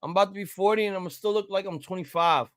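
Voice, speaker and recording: A man in his thirties hosting a live-reaction stream, chatting casually and rambling with profanity and sudden loud excited outbursts. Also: high-pitched, fast talker, no room sound, clean studio sound. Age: 30s. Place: home room at a table.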